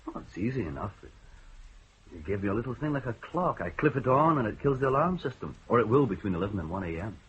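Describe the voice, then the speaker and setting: An actor playing a worried, indebted bank clerk in a radio drama, talking anxiously and hesitantly. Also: male voice, on the low side, sing-song, fast, fairly bright tone, husky, exaggerated voice. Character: nervous bank clerk in debt, worried man. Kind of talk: 1970s radio drama acting. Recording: muffled old radio broadcast.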